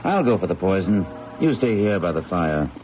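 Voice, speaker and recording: An actor playing a brisk, confident, educated young man who scoffs at ghosts, in a radio drama. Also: sits low, sing-song, a little hiss or hum. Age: young adult. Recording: dull old radio recording, loud music.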